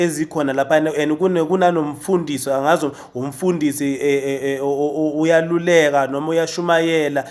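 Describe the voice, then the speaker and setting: A South African man hosting a casual interview, halting and repeating syllables as he forms his questions. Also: a little high. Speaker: interviewer, host.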